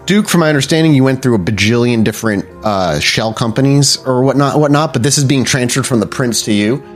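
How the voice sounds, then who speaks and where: A man's voice: medium pitch, a little fast, soft background music. Man narrating a tabletop game. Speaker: storyteller, game master.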